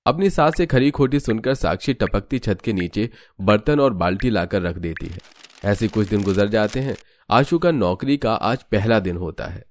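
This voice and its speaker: A man's voice: lowish voice, lively intonation, moderate pace, a little hiss or hum. A man narrating a scripted cartoon story.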